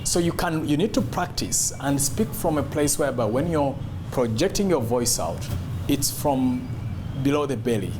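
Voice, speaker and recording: A man's voice: medium pitch, lively intonation, normal speed, background noise. A man giving an instructional talk as a public speaking coach, emphatic and demonstrative, repeating key phrases.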